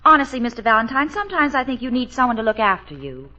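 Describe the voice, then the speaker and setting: Fretful, quick-tongued American secretary, high and pert, 1940s radio comedy. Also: female voice, very animated, bright, forceful tone. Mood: worried, scolding. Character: chirpy, fretful young female office secretary. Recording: dull, lo-fi old radio recording.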